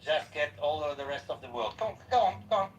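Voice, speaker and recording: A man's voice: a little high, expressive, a little fast, vocal fry, reverberant. A man in a heated podcast debate who interrupts and keeps repeating himself insistently. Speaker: podcast panelist. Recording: distant mic, faint background noise.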